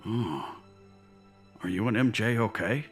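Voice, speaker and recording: A man playing a grieving but resolute older newsman and fatherly uncle in a comic dub, measured and earnest. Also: fairly low pitch, fairly bright tone, soft background music. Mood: fatherly, firm. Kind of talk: dramatic comic dub acting.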